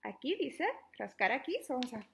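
High-voiced young woman reading to little kids. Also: moderate pace, gravelly. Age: young adult. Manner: animated, questioning, sniffing and reacting playfully to the book. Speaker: social worker reading a children's book. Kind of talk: interactive read-aloud for small children. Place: small living room at home, indoors.

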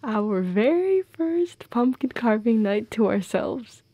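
Goth girlfriend, played by a woman for intimate roleplay audio: unhurried, gravelly fry, soft, playful, affectionate. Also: a little high, animated, no room sound, full character voice. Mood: playful, teasing. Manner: teasing, giggly, intimate. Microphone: close, dry microphone.

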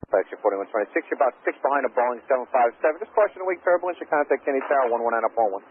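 A man's voice: medium pitch, expressive, racing, phone-quality sound. A man working as an air traffic controller, speaking in clipped phraseology over aviation radio.

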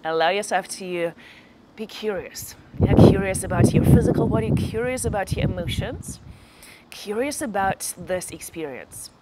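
A woman leading a guided meditation, giving slow, gentle instructions with long pauses between phrases. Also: medium pitch, animated, noisy background.

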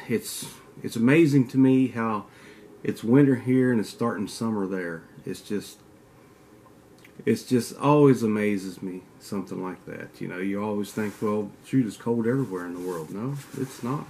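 A man's voice: lowish voice, unhurried, darkish tone, slight background noise. A man in his forties with a Kentucky southern drawl, rambling casually to a desk webcam in a den and trading teasing trash talk. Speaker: outdoors channel host. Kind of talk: casual vlog talk to camera. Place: indoor den with mounted antlers.

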